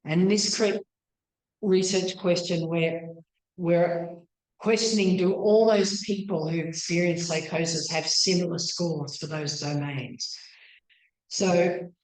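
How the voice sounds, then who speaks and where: Low-voiced woman lecturing in an echoey room. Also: steady tone, slow. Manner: tentative, self-questioning, apologetic. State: losing her voice, throat-clearing. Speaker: PhD researcher presenting a talk. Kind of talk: academic lecture. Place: indoor meeting room with audience.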